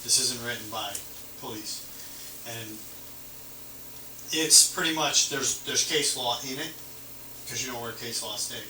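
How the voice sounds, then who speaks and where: A man's voice: medium pitch, a little slow, long pauses, pushed and bright. Police officer, male, mid-range voice, easygoing explainer, conversational and conciliatory. Echoey, noisy capture. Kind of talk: casual roadside conversation explaining the law. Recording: noisy, echoey audio, distant mic.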